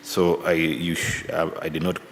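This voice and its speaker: A man questioning presenters at a parliamentary hearing, halting and probing, often restarting his sentences. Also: deep voice, level tone. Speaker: committee member questioning presenters. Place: large hearing room. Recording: long hall reverb, faint room noise.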